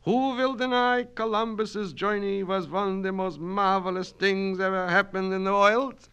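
Pompous night student, high and booming, read by a man. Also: fairly even intonation, normal speed, clean tone, highs cut off. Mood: declaiming. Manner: broken grammar, declaiming and loud. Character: pompous immigrant night-school man, grandiose arguer. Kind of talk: storybook reading.

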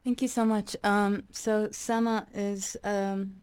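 A young adult woman from Iraq, a musician guest in a radio interview, talking reflectively with many pauses and restarts. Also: mid-range voice, flat delivery, darkish tone, clean tone, no room sound.

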